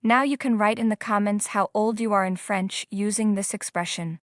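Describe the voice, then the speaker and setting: A woman giving a calm, scripted lesson in plain English, pausing to quiz the listener. Her pitch sits mid-range and the sound is close and dry, with no room around it. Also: no room sound. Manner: slow, prompting questions to the viewer. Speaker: language teacher.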